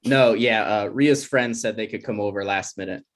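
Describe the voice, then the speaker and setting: A man's voice: lowish voice, normal speed, lightly acted. A man playing a sensitive, jokey twenty-six-year-old boyfriend in a screenplay table read, casual and self-deprecating. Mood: awkward, defensive.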